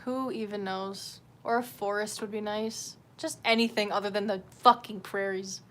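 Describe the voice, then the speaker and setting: A woman livestreamer talks off the cuff in an average-pitched voice, with some background noise around her. Her chat rambles and is candid, peppered with swearing and self-deprecation. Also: steady tone, moderate pace. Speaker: livestream host.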